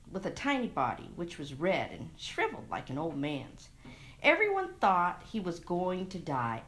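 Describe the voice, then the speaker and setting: A woman giving a dramatic reading of a literary short story, expressive and measured, recorded on a lavalier mic. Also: lowish voice. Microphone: lavalier microphone into a phone.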